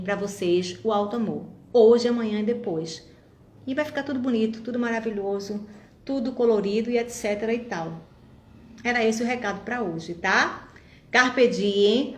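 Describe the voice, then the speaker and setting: A woman's voice: fairly high pitch, reverberant. Encouraging woman in her fifties. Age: 50s. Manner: warm, encouraging, reflective, addresses viewers directly. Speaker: vlogger. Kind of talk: motivational monologue to viewers.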